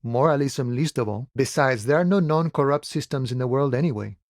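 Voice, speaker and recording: A male actor plays a laid-back dock worker who fancies himself a philosopher of the open range. He is a video game character with a middle-pitched male voice. His delivery is folksy and unhurried, addressing listeners like old pals, and the sound is very dry. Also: a little mellow, dry recording, natural voice. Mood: wry, philosophical. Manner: unhurried, folksy musing, calls people friend and boya.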